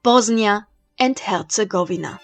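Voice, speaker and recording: A woman's voice: medium pitch, a little slow, faint music behind. A woman with an Austrian accent hosting a history channel, narrating a script dramatically and posing rhetorical questions.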